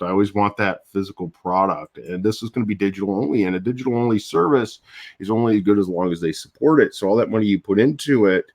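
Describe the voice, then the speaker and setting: An older man with a low, slightly deep voice talks through a casual morning live stream. He rambles from point to point, restarts his sentences and chuckles between thoughts. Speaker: YouTube host. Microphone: different audio device that lowers voice.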